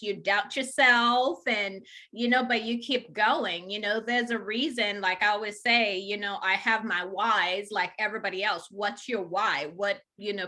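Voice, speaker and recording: A woman's voice: medium pitch, pushed and bright. A woman from Rwanda and Uganda, a home organizer, talking enthusiastically in a casual interview with many fillers. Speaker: professional organizer, guest.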